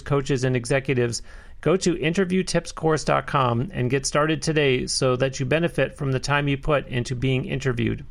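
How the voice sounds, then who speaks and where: A man hosting an interview podcast, reading a polished promo and asking measured questions. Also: on the high side, creaky. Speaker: podcast host.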